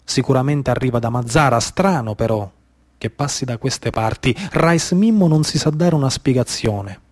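A man's voice: medium pitch, lively intonation, normal speed, no room sound. A man with a Sicilian accent giving dramatic, declamatory scripted narration as a stage storyteller in short suspenseful phrases.

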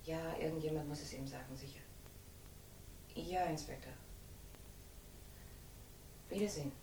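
A soft-toned, lowish-voiced woman, reticent and guarded in a 1960s radio thriller. She sounds far from the microphone in an echoey room. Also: unhurried. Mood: subdued. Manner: hesitant, reticent, understated. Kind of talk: late-1960s German radio crime drama acting. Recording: distant, roomy, faint noise and rumble.

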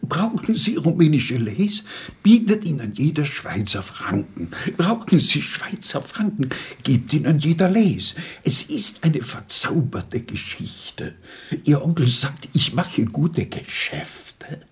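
An actor playing a small, shabby, timid currency dealer in a radio play, husky and plaintive, with a world-weary storytelling manner. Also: male voice, fairly high pitch, sing-song, takes it slowly, heavily acted.

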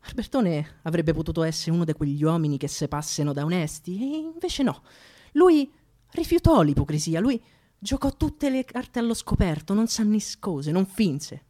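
A woman's voice: fairly low pitch, expressive, normal speed. A woman playing an impassioned Roman thief with a Roman accent, delivering a theatrical mock funeral oration in a declamatory, rhetorical way. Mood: solemn, proud. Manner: declamatory, rhetorical, swinging from hushed to shouted. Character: impassioned Roman thief eulogizing a dead comrade.

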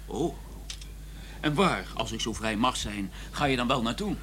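A prim, learned gentleman in a radio play, telling his tale and sparring in formal speech. His delivery is dry, sarcastic and exasperated, with animated rises and falls. A faint noisy hum sits on the recording. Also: medium pitch, a little slow. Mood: dry irritation. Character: prim, exasperated English scholar, a doctor, narrating his journey. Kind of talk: radio drama acting and first-person narration.

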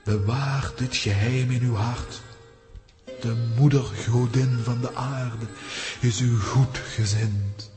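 An actor playing a gravely wise head lama of a mountain monastery, telling things in a solemn, formal, measured way for a children's audio drama. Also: male voice, mid-range voice, fairly even intonation, darkish tone, close to an everyday voice. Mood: reverent, formal. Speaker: character telling a mountain legend. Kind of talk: Dutch-language children's audio drama acting. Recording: long reverberant hall with music behind.

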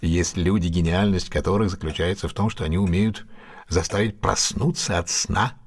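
Confident ironic detective, lowish husky voice, teasing and theatrical, radio play style. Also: male voice, normal speed. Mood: ironic praise. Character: confident, amused, ironic male detective, keenly analytical. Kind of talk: Russian audio drama acting.

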